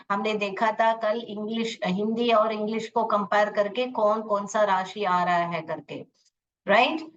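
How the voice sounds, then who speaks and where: A woman's voice: medium pitch, fairly bright tone. A woman with an Indian accent teaching a live online English lesson, explaining with examples and checking understanding with short tag questions. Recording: dull, distant-sounding online class audio.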